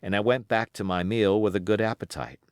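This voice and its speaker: A man's voice: lowish voice, steady tone, fairly quick, clean tone, no room sound, clean studio sound. A man reading literary narration as an audiobook reader.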